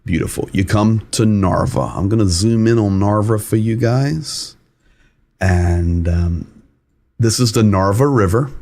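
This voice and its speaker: A man's voice: on the low side, a little slow, a little mellow. A man hosting a live news-commentary stream, talking casually and rambling while walking viewers through a map. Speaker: host.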